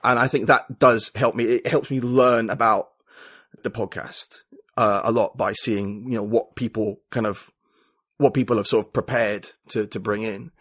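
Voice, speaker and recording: A man's voice: fairly low pitch, steady tone, normal speed, a bit bright, no room sound, clean studio sound. A man speaking as a podcast guest in a casual conversation, rambling and full of "like" and "you know".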